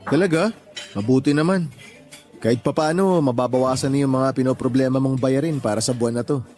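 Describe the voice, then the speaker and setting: A man's voice: mid-range voice, fairly quick, a little mellow, faint music behind, lightly acted. A man acting in a radio drama as a supportive, easygoing young boyfriend, casual and concerned.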